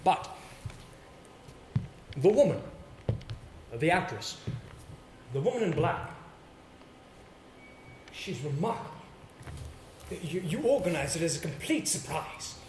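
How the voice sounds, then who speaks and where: Man acting as earnest stage-play actor, measured theatrical delivery, dramatic pauses, roomy sound, faint noise. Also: fairly high pitch, sing-song, heavily acted. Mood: puzzled, intrigued.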